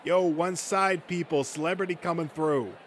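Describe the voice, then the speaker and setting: Man doing a loud, bossy, impatient guy. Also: fairly high pitch, normal speed, slight background noise, pitched above the performer's own voice, close to an everyday voice. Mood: impatient shouting. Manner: barking orders.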